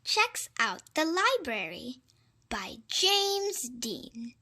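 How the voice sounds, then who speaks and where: A woman's voice: high-pitched, big pitch swings, drawn-out words, pushed and bright. A woman reading a picture book aloud to young children, expressively and with exaggerated wonder. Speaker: children's storybook reader.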